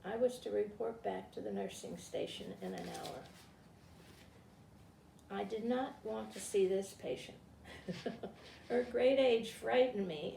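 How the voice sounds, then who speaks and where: An elderly woman with a creaky voice, speaking in a halting, informal way as she presents to a group. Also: mid-range voice, mellow. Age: 70s or older. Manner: halting, rambling, self-interrupting. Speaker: retired teacher and read-aloud presenter. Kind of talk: informal talk and reading aloud to a group. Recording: distant mic, echoey room, faint noise.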